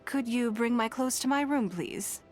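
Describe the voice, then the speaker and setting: An actress playing an elegant, shrewd and wealthy businesswoman in a video game, speaking in a composed, measured, refined and gracious way. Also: on the high side, darkish tone, natural voice. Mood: gracious, lightly teasing. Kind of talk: scripted video game character dialogue. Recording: music behind the voice.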